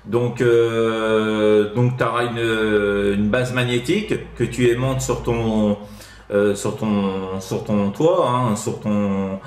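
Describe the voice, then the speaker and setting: A man's voice: on the low side, steady tone, slow pace, a little mellow. A man with a French accent from France, chatting casually as a CB radio operator giving advice, in a hesitant, rambling way full of tag words. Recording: roomy echo.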